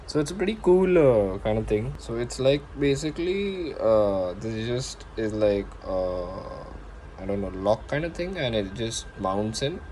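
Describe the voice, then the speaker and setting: A man with an Indian accent casually showing his gear as a vlogger, unscripted and often pausing to search for words. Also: on the low side, a little mellow, a little hiss or hum. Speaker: motovlogger presenting own gear. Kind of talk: casual unscripted product show-and-tell.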